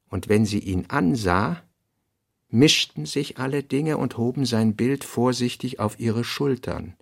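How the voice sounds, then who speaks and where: A man's voice: fairly low pitch, creaky, no room sound, studio quality. A man reading literary prose as the narrator of a radio drama.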